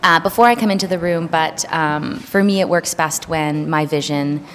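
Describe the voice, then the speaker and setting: A woman's voice: sits low, normal speed, a bit bright, long reverb tail, slight background noise. A woman, a choreographer, explaining her work in an interview with lots of hesitant fillers.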